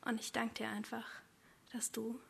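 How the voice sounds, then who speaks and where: Breathy woman praying in a flat tone. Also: on the high side, normal speed. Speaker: prayer leader. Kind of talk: spoken prayer.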